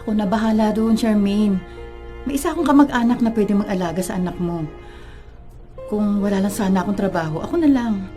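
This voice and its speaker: A woman's voice: mid-range voice, brisk, dark tone, faint music behind, lightly acted. A woman playing a caring, protective middle-aged mother with a Filipino accent in a radio drama. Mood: warm, supportive.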